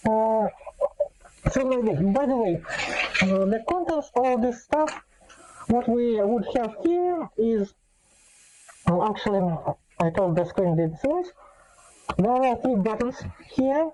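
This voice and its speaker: A woman giving a casual, unscripted gadget demonstration, speaking in a halting, hesitant way with many filler pauses. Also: fairly high pitch, expressive, mellow, rough recording. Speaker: host demonstrating an electronic gadget.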